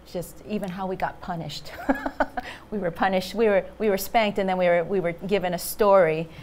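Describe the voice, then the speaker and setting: A woman's voice: medium pitch, steady tone, moderate pace, darkish tone. A woman in her forties, a Korean native speaker, telling a reflective personal story on a studio panel. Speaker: panel guest, journalist. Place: studio stage with a small audience.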